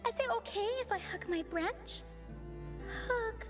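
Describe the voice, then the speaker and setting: A woman's voice: extremely high pitch, expressive, unhurried. A woman voicing a shy, anxious young female dream fawn in a video game, sweet and wistful, with a timid, hesitant, apologetic way of speaking. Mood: shy, nervous. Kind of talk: video game character voicelines. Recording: game voice clips over music.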